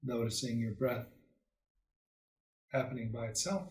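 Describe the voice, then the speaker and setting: A man teaches a guided meditation at a very unhurried pace. He speaks calmly and soothingly in short phrases with long pauses, at a medium pitch. The sound is echoey, as if the microphone sits some way off. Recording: roomy echo, distant microphone.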